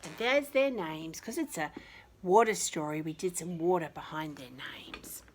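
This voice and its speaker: A woman with an Australian accent reading a picture book to young children, adding chatty, affectionate asides in an expressive way. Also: low-pitched, unhurried, a little hiss or hum. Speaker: children's storyteller and teacher.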